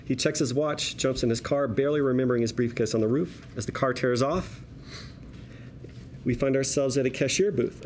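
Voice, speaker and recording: A man's voice: medium pitch, darkish tone. A man reading stage directions at a table read in a wry, conversational storytelling manner. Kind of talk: lively screenplay narration. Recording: noisy room ambience.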